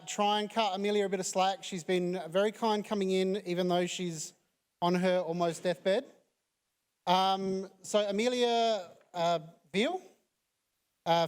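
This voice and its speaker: A man serves as emcee at a conference and introduces the next speaker. His voice is high for a man and he talks in a casual, teasing way. A long hall reverb trails after his words. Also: smooth. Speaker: event emcee.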